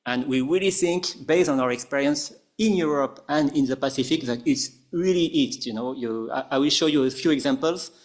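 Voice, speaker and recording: A man with a French accent presenting at a conference panel, halting often with fillers and restarts. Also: mid-range voice. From France. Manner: hesitant, many fillers and restarts.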